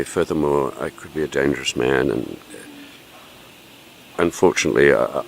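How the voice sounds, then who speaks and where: A man acting in an audio drama as a gentle, melancholic painter, speaking in a hesitant, solemn and philosophical way. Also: extremely low pitch, creaky, slight background noise, full character voice. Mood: grave, cautioning. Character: gentle melancholic male painter guarding forbidden art. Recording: echoey hall with long reverb.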